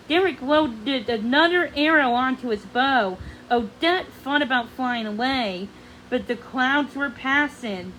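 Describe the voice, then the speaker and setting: A woman's voice: sits high, fairly bright tone, a little hiss or hum, low-quality recording. A woman narrating a storybook read-along in a dramatic manner, occasionally stumbling over words.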